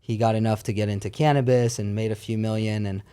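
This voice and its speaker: Clear-voiced man in his thirties, candid. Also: on the low side, fairly even intonation, fast talker, warm tone. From born in Paris, France, moved to America at twelve. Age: 30s. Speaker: podcast guest. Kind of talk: casual storytelling chat.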